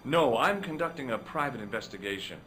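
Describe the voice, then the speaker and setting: An actor voicing a persistent, dry-witted young investigator in a video game. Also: male voice, mid-range voice, animated, fairly quick, bright, forceful tone. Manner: dry, wry, matter-of-fact.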